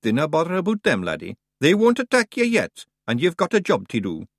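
A cheeky little Scottish fairy warrior, high and bouncy, rattling off broad dialect. His voice lifts and swoops all over, heard close and dry. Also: huge pitch swings, fairly quick, no echo, heavily acted. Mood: wry. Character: tiny rowdy Scots fairy warrior man. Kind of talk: audiobook character voice.

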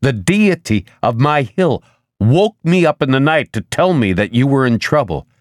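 An exasperated man in an audiobook, acted by a male narrator. He is urgent and indignant, insisting on being heard, and his pitch moves animatedly in a dry, close sound. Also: big pitch swings, moderate pace, dry recording. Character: frazzled, exasperated man, a religious believer pleading urgently. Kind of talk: audiobook character dialogue.

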